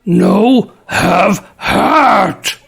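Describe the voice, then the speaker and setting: An actor playing a dimwitted monstrous henchman, shouting harshly in broken phrases with a raspy, gravelly voice in an audio drama. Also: high voice, drawn-out words, exaggerated voice. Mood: angry. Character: ugly male brutish dimwitted hound henchman.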